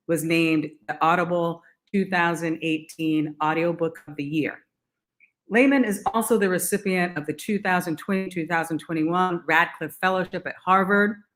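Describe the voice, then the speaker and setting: A woman hosting a literary and arts salon, introducing speakers in a measured way. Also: fairly low pitch, a bit bright. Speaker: event host.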